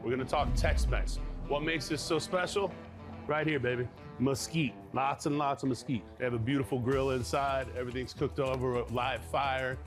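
A man's voice: medium pitch, fairly even intonation, moderate pace. A middle-aged man hosting a food show, chatting casually and enthusiastically in short emphatic phrases. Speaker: food show host, chef. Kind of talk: casual on-location food show chat and presenting. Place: restaurant dining rooms. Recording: music behind the voice. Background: music.

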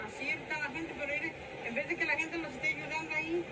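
Chatty woman with a high voice, outdoors. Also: fairly even intonation, fast, a crowd in the background, low-quality recording. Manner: chatty storyteller, says "doña" often. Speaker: neighbor. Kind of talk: casual chat. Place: sunny residential sidewalk outdoors.

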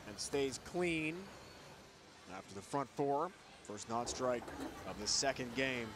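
A man's voice: medium pitch, lively intonation, slow. A man calling bowling shots as a play-by-play commentator, in clipped, terse phrases. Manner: clipped, terse calls of each shot. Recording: TV broadcast with arena noise behind.

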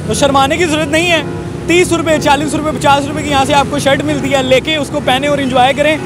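A man. He presents like an energetic market vlogger, repeating himself like a salesman. Traffic and a busy crowd are loud behind him on the open street. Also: very high-pitched, quick pace. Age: 20s. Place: outdoor roadside market. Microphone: handheld recorder held at chest. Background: crowd and passing vehicles.

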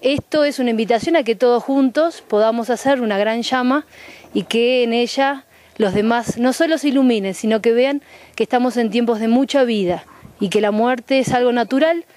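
A woman's voice: fairly high pitch, moderate pace, a little mellow. A young woman with a Uruguayan accent giving an informal motivational greeting outdoors. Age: young adult. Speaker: youth ministry delegate. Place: outdoors in a garden courtyard.

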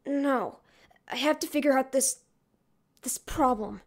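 A woman voicing a sick, stubborn, brainy teenage boy mutant turtle, weary and halting, in an amateur comic dub. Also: sits high, voice pushed down. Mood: weary, sickly.

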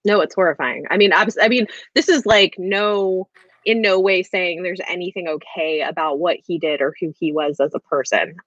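A woman's voice: on the low side, normal speed, fairly bright tone, no echo. A woman in her thirties hosting a casual book chat, talking in a hesitant, rambling way peppered with "like". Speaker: book discussion host. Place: small room at home, video call. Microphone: earbuds on a video call. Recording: dull video-call audio.

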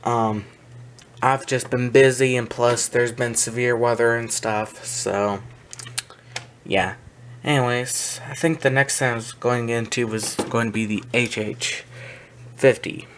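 Male hobby vlogger, slow and halting casual delivery, mid-pitched, recorded on a handheld camera in a small room. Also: steady tone. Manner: hesitant, halting delivery with trailing asides. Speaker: video host, hobby collector. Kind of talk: casual unscripted show-and-tell narration. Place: small indoor room with wooden shelves. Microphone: handheld camera's built-in mic, behind the camera. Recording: noisy handheld camera audio, faint room noise.

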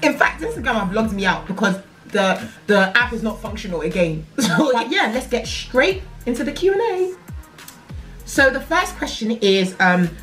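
A woman's voice: a little high, moderate pace, soft background music. Chatty young woman vlogging at home. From England. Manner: chatty, playful, animated with hand gestures. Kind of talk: casual chat to camera. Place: living room, indoors. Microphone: camera at desk distance.

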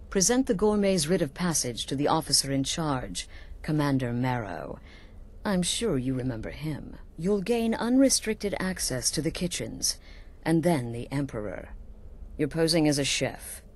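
An actress playing a cool, scheming assassin leader in a video game, measured, confident and conspiratorial. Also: female voice, sits low, darkish tone. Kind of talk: video game character dialogue.

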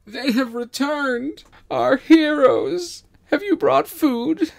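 A man's voice: extremely high pitch, slow, gravelly, heavily acted. A man playing an awestruck, desperate elder of a starving space colony, proclaiming reverently in an audio drama. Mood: awed, pleading. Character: desperate, awestruck older leader of starving station dwellers.

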